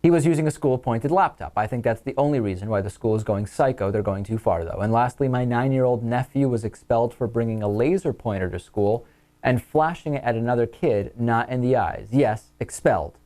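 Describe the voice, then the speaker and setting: A male talk show host with an American accent. He speaks at a middle pitch in a commentary style, moving between listener mail and his own remarks. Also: brisk, dark tone.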